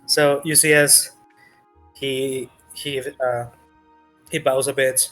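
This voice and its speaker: A man narrating a tabletop roleplaying game as game master, in a halting, hesitant way. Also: mid-range voice, flat delivery, fairly bright tone, soft background music, low-quality recording.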